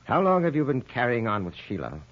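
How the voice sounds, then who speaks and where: Jealous, menacing husband, muffled old radio. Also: male voice, medium pitch, wildly swooping pitch, fairly quick. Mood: menacing, angry. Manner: accusing, threatening. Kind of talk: 1950s radio drama acting.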